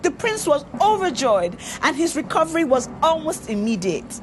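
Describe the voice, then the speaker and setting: A woman reading a scripted story as an expressive narrator, deliberate and dramatic. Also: high voice, noisy background. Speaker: story reader. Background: music under the voice.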